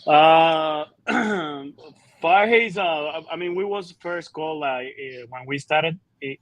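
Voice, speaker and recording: Halting Cuban-born metal singer, dry sound. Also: male voice, fairly high pitch, a bit bright, no echo, low-quality recording. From Cuba. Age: 30s. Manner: halting, many fillers and restarts. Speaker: band singer and bassist. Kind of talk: casual interview answers.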